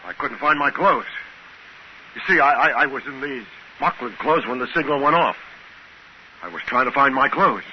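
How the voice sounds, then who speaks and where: An American actor playing a bewildered, excitable male spaceship crewman in a 1950s radio drama. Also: medium pitch, moderate pace, pauses often, muffled recording, close to an everyday voice. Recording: hissy band-limited 1950s radio recording.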